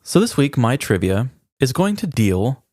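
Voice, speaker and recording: A man's voice: medium pitch, sing-song, measured pace, a little mellow, no echo, studio quality. A man co-hosting a podcast in casual chat, with an informal, joking way of talking. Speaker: podcast co-host.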